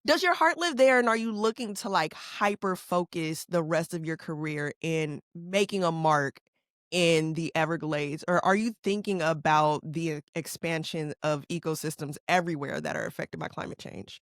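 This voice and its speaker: Lively young female interviewer, chatty and encouraging, recorded dry. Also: low-pitched, no echo. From California. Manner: animated, quick follow-up questions with affirming interjections. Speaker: podcast host. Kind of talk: conversational interview.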